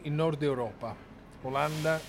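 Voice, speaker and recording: A man from Naples, a café owner answering in halting phrases. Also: a little high. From Naples, Italy. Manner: halting, hesitant listing. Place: café in Rome. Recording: location recording with clear background noise.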